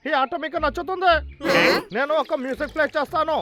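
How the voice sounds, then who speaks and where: A man's voice: very high-pitched, fast talker, pushed and bright, a little hiss or hum. A man voicing a scheming, boastful male logger villain in a children's cartoon, with a sly, exaggerated manner. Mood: falsely friendly. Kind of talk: dubbed children's cartoon acting.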